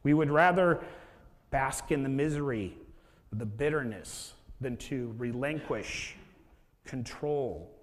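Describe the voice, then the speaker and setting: A man's voice: medium pitch, lively intonation, slow. A man in his thirties preaching a sermon as pastor, chatty, with rhetorical questions and acted-out inner thoughts. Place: church sanctuary with a stage. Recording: long reverb of a church hall.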